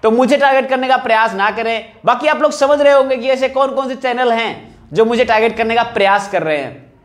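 High-pitched man, insistent. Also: brisk. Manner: insistent, repeating rhetorical questions and appeals. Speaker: exam coaching teacher and channel host. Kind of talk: informal update talk addressed to exam candidates.

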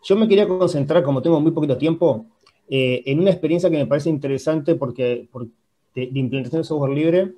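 A man's voice: fairly high pitch, a little fast, warm tone. A man in his forties with a Uruguayan Spanish accent, giving an informal, explanatory talk on a video call, often adding little checking questions. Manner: explanatory, punctuated with checking tags. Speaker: guest speaker, public-sector IT manager. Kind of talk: informal online panel presentation. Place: indoor room, video call. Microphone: earphone headset on video call.

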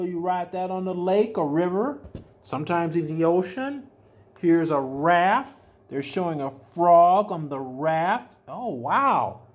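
An older man reading a children's picture book aloud as a storyteller, adding simple explanations with drawn-out emphatic words. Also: high voice. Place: small furnished room at home, indoors.

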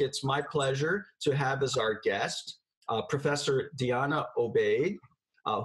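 A man in his sixties conducting a formal interview over a video call, with a halting, hesitant delivery. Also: medium pitch, low-quality recording. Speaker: interviewer, host. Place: indoor room, video call.

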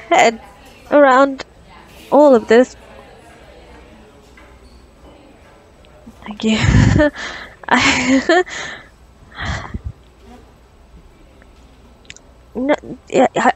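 A woman playing a flustered, curious doctor on a date in an ASMR roleplay, stammering nervously and haltingly. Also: medium pitch, natural voice. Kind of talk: ASMR roleplay audio drama.

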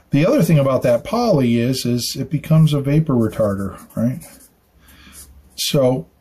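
A man in his fifties explains a building detail in a relaxed, chatty way. He thinks out loud and tosses in "right?" as he goes. His voice is mid-pitched with a husky edge, recorded in a small curtained room. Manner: chatty and informal, thinking aloud with fillers and tag questions. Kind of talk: casual technical explainer.

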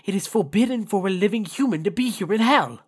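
An actor voicing an elegant, stern owl demon prince in an audio drama, with formal, deliberate, courtly speech. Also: medium pitch, lively intonation, breathy edge. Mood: stern, worried. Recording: music under the voice.

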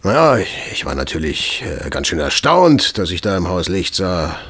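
An actor playing a talkative, down-to-earth London police constable in a German audio drama, telling things in a colloquial way. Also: male voice, low voice, very animated, moderate pace, fairly bright tone, husky, full character voice. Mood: uneasy storytelling. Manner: colloquial, chatty storytelling.

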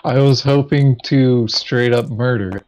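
A man playing a board game, chatting casually and thinking aloud in a halting way. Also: medium pitch, dull audio, a crowd in the background. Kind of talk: casual tabletop game chat.

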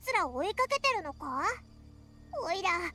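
Tiny floating game sidekick: squeaky, blunt, excitable, extremely high, lively swings. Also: female voice, a little fast. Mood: worried. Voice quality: squeaky, childlike. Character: small excitable childlike female floating fairy companion. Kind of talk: Japanese video game voice acting. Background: soft background music.

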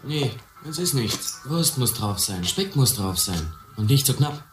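A man's voice: mid-range voice, big pitch swings, unhurried. An actor playing a blunt, ambitious young man from the harbour in a radio play, talking colloquially and cockily. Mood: cocky, driven. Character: streetwise, hungry, ambitious harbour youth. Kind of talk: German radio play acting. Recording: roomy, music behind.

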